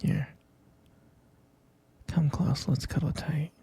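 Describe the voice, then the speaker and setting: A man playing a devoted, soothing expectant father in an intimate ASMR roleplay, murmuring affectionately in a soft, breathy, husky whisper. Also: on the high side, fairly even intonation, full character voice. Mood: caring, soothing. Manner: slow, murmuring, reassuring, affectionate.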